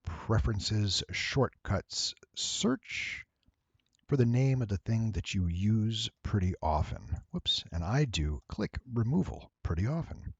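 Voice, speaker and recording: A man with a slightly nasal voice gives a casual, playful software tutorial, explaining each step without hurry. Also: lowish voice, animated, takes it slowly, husky, dry recording, muffled recording. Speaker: voice actor giving a software tutorial. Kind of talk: casual screen-recorded tutorial.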